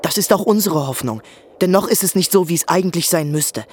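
High-voiced, earnest party official. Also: male voice, animated, a little fast, a little mellow, breathy edge. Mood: earnest, persuasive. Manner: polite, persuasive. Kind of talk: radio drama acting.

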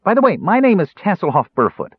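A man's voice: high-pitched, sing-song, quick pace, breathy edge, no echo, lo-fi sound, pitched above the performer's own voice, heavily acted. A man voicing a small, naive, eager kender in an audiobook, rambling earnestly and easily wounded. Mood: chatty, indignant.

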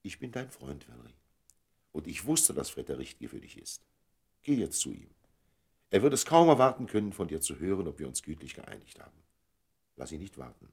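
An actor playing a wise, fatherly diplomat of fifty-six in a radio play, with measured, theatrical phrasing. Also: sits low, sing-song, vocal fry, darkish tone, breathy edge, exaggerated voice. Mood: gentle, resigned. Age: 50s. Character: 56-year-old diplomat, wise, fatherly, self-denying gentleman friend.

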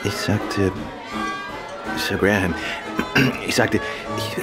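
Ein Schauspieler spielt in einem Hörspiel einen weltgewandten, ironisch neckenden Krimiautor und Amateurdetektiv. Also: male voice, fairly low pitch, extremely slow, over loud music. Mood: teasing, ironic. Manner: suave, ironic, playful. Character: urbane, teasing gentleman novelist and amateur detective. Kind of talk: German radio play acting.

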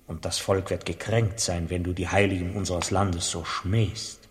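An actor playing a dignified, sceptical bishop in a German radio drama, admonishing in a measured way. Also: male voice, deep voice, level tone, natural voice. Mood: reproachful, sceptical. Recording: long reverberant hall.

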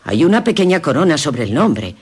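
An actress playing Laura, a wistful, easily amazed young gentlewoman in a Spanish radio drama, with a Castilian accent, moving between hushed narration and excited exclamation. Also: sits low, fairly quick. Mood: intrigued.

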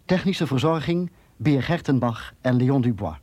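Male announcer for radio drama, mid-pitched, formal deliberate delivery, close dry sound. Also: expressive, a little mellow, dry recording. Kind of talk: scripted credits announcement.

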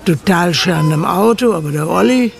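An actor narrating a radio play as a matter-of-fact, gossipy villager with a dry, colloquial manner. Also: male voice, high voice, lively intonation, moderate pace, creaky, heavily acted. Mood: wry.

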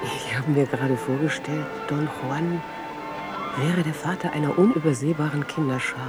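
A woman's voice: deep voice, steady tone, moderate pace, loud music behind the voice, lightly acted. An actress playing a down-to-earth woman who talks drily and bluntly in a radio play conversation. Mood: dry, teasing. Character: down-to-earth woman drily puncturing lofty talk.

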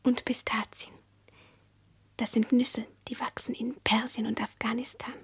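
An actress playing a dreamy, childlike young woman, speaking in a hushed, intimate, breathy whisper in a radio play. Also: on the high side, little pitch movement, a little slow, heavily acted. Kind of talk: German radio play acting.

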